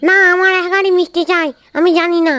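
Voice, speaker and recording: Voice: extremely high pitch, fairly even intonation, quick pace, pushed and bright. A performer voicing a greedy, stubborn little ghost child in a cartoon, always demanding. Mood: whiny demanding.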